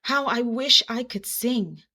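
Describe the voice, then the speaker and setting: A woman's voice: a little high, slow, bright, forceful tone, voice pushed up, heavily acted. A woman voicing a small, wistful, earnest storybook armadillo with an eager, emphatic delivery. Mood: wistful longing.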